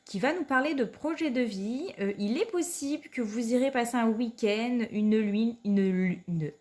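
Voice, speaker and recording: A woman's voice: a little high, moderate pace, a little mellow. A woman with a cold giving a weekly card reading to her viewers, hesitant and full of fillers. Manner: hesitant, many fillers, repeats "tout simplement". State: has a cold. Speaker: card reader.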